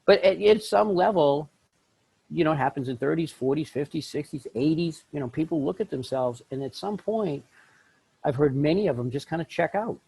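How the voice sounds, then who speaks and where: Man, middle-aged, husky voice, animated storytelling, life coach guest. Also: moderate pace, low-quality recording. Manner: acts out quoted voices with big pitch jumps. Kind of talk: animated storytelling in a podcast interview.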